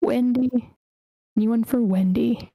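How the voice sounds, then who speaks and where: A woman's voice: fairly high pitch, steady tone, takes it slowly, gravelly, warm tone, no room sound, poor audio. A woman hosting an online table read, casually organizing and reading screenplay stage directions aloud. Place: online meeting.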